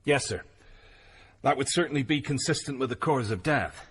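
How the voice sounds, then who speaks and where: A man's voice: mid-range voice, moderate pace, fairly bright tone, a little hiss or hum, close to an everyday voice. An actor playing a polite, matter-of-fact country policeman in a period radio drama. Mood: grave.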